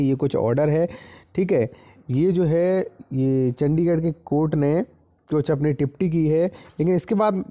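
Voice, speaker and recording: A man's voice: fairly high pitch, mellow. A man giving legal advice to viewers in an informal explainer style, with a didactic, repetitive manner and frequent check-in tags.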